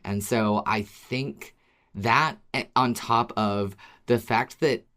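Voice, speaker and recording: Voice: medium pitch, slow, a bit bright. A podcast co-host chatting casually about a favourite show, playful and agreeable. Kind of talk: casual fan podcast chat.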